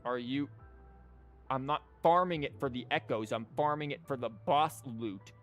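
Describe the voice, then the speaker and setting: A man's voice: medium pitch, fairly bright tone. Male game streamer, casual commentary, informal and rambling, mid-range voice, music in the background. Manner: rambling, informal, thinking aloud with false starts.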